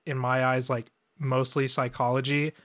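A man's voice: medium pitch, normal speed. Laid-back male designer chatting. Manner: relaxed, filler-heavy, says "like" a lot. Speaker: designer co-host. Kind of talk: casual chat.

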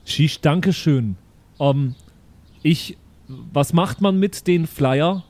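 A man voicing a naive, curious young son who talks in a halting, muddled, childlike way in an improvised audio play. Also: fairly high pitch, a little mellow, dry recording. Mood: naive pleading.

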